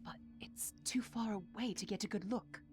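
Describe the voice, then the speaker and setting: A woman's voice: medium pitch, normal speed, a little mellow, natural voice. An actress playing a brave, wary young female monster hunter in a video game. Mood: tense, watchful. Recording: music behind the voice.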